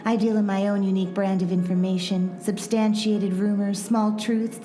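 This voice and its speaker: Brassy noir waitress-informant, played by a woman: knowing and curt, mellow tone, roomy echo. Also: mid-range voice, level tone, moderate pace, lightly acted. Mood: curt sassy. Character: brassy, world-weary female server and underworld informant. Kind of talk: noir radio play acting. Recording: roomy echo, faint music bed.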